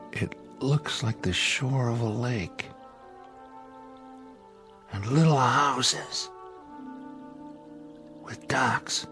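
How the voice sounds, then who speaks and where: An actor playing a skeptical spaceship crewman in a radio drama, a man speaking with incredulous wonder. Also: mid-range voice. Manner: deliberate, incredulous. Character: skeptical male spaceship crewman turning awestruck.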